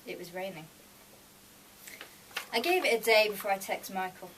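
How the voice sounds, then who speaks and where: Female author for a live story reading, expressive, mid-pitched, picked up from a distance. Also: moderate pace. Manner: expressive storytelling, switching between narration and dialogue. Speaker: author reading own fiction. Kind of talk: live fiction reading with acted dialogue. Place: live fiction night, recorded from a distance. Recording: distant mic, noisy room ambience.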